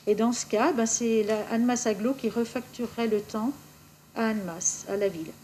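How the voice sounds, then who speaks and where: Female official, soft-edged higher voice, formal meeting explanation, faint noise behind. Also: a little fast. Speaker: municipal official presenting a council resolution. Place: municipal council meeting.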